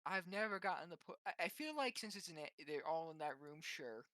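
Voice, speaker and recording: A man's voice: a little high, animated, fairly quick, pushed and bright, no echo. A man chatting casually as a player in a tabletop game, hesitant and often stammering over his words.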